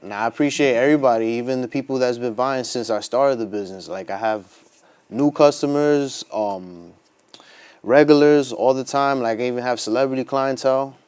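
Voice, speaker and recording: Smooth-voiced New man chatting. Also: mid-range voice, moderate pace, darkish tone. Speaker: guest, small business owner. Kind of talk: casual interview chat.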